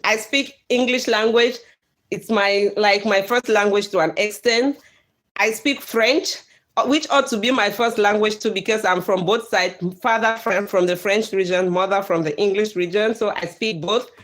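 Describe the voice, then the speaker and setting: A Cameroonian-accented woman whose first language is Bakweri, hosting a live stream, reading out viewer comments in a lively way with frequent laughs and pidgin phrases. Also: medium pitch, moderate pace. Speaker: livestream host.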